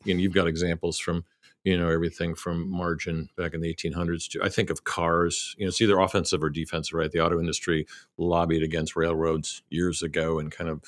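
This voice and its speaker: Low-pitched man, casual podcast host. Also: level tone, fast, no room sound. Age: middle-aged. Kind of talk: casual business conversation.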